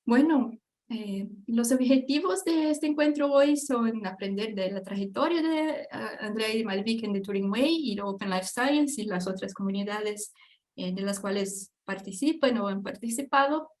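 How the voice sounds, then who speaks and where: A woman's voice: on the high side, a little slow, darkish tone. A woman hosting an online panel, introducing the speakers with many hesitant fillers. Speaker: event host.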